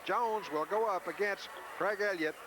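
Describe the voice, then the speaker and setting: A man's voice: high voice, normal speed. A man doing live radio basketball play-by-play in short, clipped phrases, on an old 1980s recording made in a school gym. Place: school gymnasium during a game. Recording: noisy 1980s radio audio from VHS.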